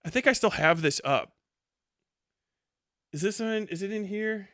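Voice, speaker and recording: A man in his thirties ranting casually to a livestream chat, swearing freely in a mocking, animated way. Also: high-pitched, measured pace, dry recording, studio quality.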